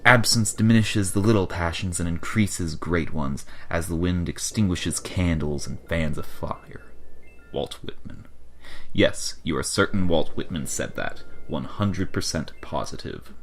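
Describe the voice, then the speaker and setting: Low-voiced man narrating with theatrical gravity. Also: measured pace, fairly bright tone, a little hiss or hum. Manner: theatrical, mock-serious. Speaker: narrator of a fan comic dub. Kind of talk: dramatic scripted second-person narration of a comic adventure.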